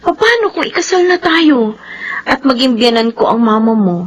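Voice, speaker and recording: A woman's voice: on the high side, lively intonation, normal speed, slightly rough. An actress playing an apprehensive, inquisitive young Filipino girlfriend in a radio soap opera. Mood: worried. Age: young adult.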